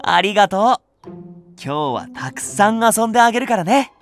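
Earnest anime rookie: boyish, eager, flustered, lively swinging pitch over music. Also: male voice, normal speed. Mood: pleading, eager. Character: earnest, easily flustered rookie male nursery teacher. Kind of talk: anime voice drama acting.

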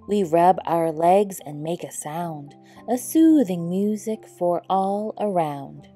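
A tiny, calm cricket read by a woman in a children's storybook. It speaks soothing, unhurried rhyme in a mellow voice, with music underneath. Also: on the low side. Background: background music.

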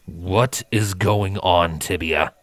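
A man voicing a gruff, protective male changeling captain in a storybook reading, barking and grunting his words. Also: sits low, takes it slowly, no echo. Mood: wary.

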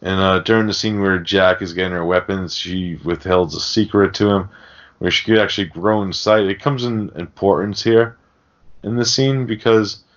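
Low-voiced man swearing through casual chat. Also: steady tone, normal speed, dull audio. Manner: heavy swearing, slangy. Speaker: podcast host. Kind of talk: casual film recap chat.

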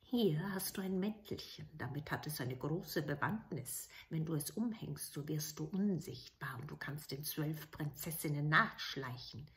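A woman voicing a kindly, sly old woman in a fairy tale, in a hushed, knowing manner. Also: low-pitched, expressive, measured pace, hardly any pauses, voice pushed down.

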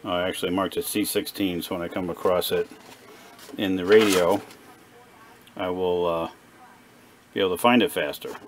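Low-voiced man explaining, noisy background. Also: fairly even intonation, normal speed, pauses often. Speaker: presenter of a radio repair video. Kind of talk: casual hands-on demonstration, unscripted. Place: small indoor workshop at a workbench.

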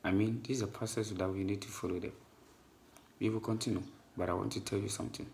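A male channel host comments directly to his viewers. The voice is low and gravelly, caught in a quiet recording with a little noise behind it. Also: steady tone, fast.

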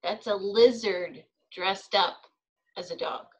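A woman's voice: mid-range voice, takes it slowly, pitched below the performer's own voice, lightly acted. A woman acting out a stubborn, exasperated young child in a storybook read-aloud, with emphatic, insistent delivery. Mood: indignant, firm.

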